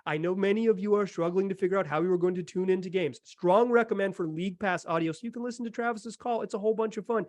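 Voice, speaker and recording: A man's voice: sits high, fast talker, hardly any pauses, darkish tone, no echo. A man hosting a podcast, chatting casually and enthusiastically about sports with emphatic delivery. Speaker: podcast host.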